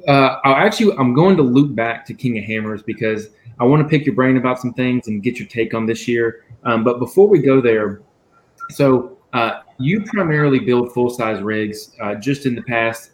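A man's voice: medium pitch, roomy sound, a little hiss or hum. A man hosting a podcast, asking friendly, curious questions in a casual chat. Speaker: podcast host.

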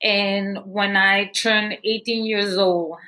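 A woman's voice: mid-range voice, steady tone, takes it slowly, bright, forceful tone. A young woman from Guatemala, a podcast guest candidly telling her life story with many fillers. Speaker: guest, movement founder. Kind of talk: personal story interview.

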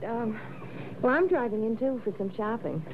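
A woman's voice: fairly high pitch, animated, moderate pace. An actress playing a friendly, eager, slightly hesitant young woman in a 1960s radio drama. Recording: muffled, noisy old radio recording.

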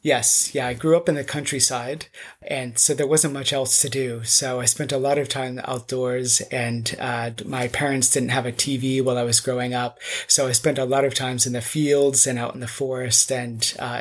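A man's voice: medium pitch, flat delivery, moderate pace. A middle-aged man from North Carolina, answering interview questions with enthusiasm and frequent fillers. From North Carolina, United States. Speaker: guest, mudlarker.

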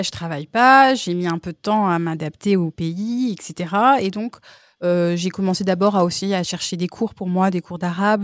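A French woman in her thirties, a language teacher, talking casually with many pauses and restarts. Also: lowish voice, no room sound, studio quality. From France. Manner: hesitant, many fillers and restarts. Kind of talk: casual conversation.

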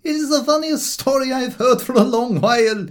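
A man's voice: very high-pitched, moderate pace, voice pushed up. A man voicing a curious, amused country innkeeper in an audiobook, with an inquisitive manner. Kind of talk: audiobook dialogue.

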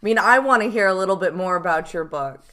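A woman's voice: on the low side, animated, fast talker, bright, forceful tone. A woman hosting a podcast, asking questions in an enthusiastic, encouraging way. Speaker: podcast host. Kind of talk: podcast interview.

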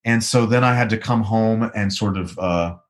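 A man with a naturally low baritone voice tells a winding story, stopping and restarting his sentences often. He is a guest musician in a casual interview. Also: moderate pace. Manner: rambling, animated storyteller with many restarts.

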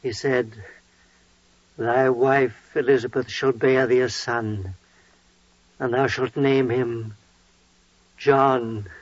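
An actor playing a devout, awestruck priest and father in 1940s radio drama, solemn, halting and declamatory. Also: mid-range voice, steady tone, no echo. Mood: awed recounting. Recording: muffled old 1940s radio recording.

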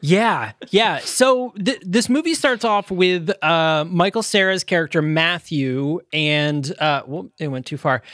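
A fairly high-voiced young man rambles through a casual movie chat, stumbling over restarts and swearing freely. His voice is close and dry, with no room sound. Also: animated, measured pace, hardly any pauses, a bit bright, dry recording. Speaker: podcast co-host.

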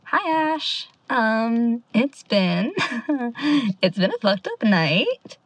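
Determined younger sister, actress: defiant, earnest, fairly high voice, dry studio-close sound, audio drama. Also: female voice, sing-song, drawn-out words, no echo, heavily acted. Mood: wry, resolute. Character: determined, stubborn younger sister searching for missing friend.

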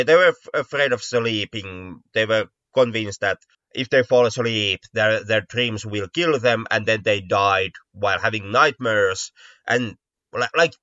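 Stammering man with a lowish voice. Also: bright, forceful tone. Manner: stammering, often repeats words mid-sentence. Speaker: podcast co-host. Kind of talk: casual discussion of mystery stories. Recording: dull, lo-fi, roomy echo.

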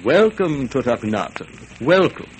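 A man playing a gentle, frail, idealistic king who speaks earnestly. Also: medium pitch, big pitch swings, a little slow, slight background noise, rough recording.

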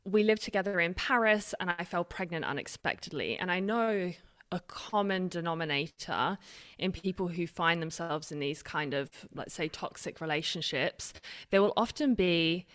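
Guest, woman, 30s, mixed Australian-British accent, lowish voice, candid reflective storyteller. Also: animated, normal speed, fairly bright tone. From Australia and Britain. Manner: open, reflective storytelling with frequent restarts. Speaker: podcast guest. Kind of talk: conversational interview.

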